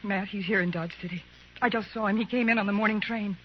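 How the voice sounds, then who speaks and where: An actress playing an anxious frontier wife in an old radio western, earnest and pleading in an urgent manner. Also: female voice, medium pitch, fairly even intonation, fast talker, natural voice. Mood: fearful pleading. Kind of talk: 1950s radio drama acting. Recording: dull, noisy old radio recording.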